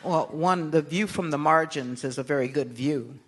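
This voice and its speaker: An older American woman answering interview questions as a guest, speaking in a measured, emphatic, oratorical way. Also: low-pitched. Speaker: interview guest, political activist. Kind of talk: live on-stage interview answers.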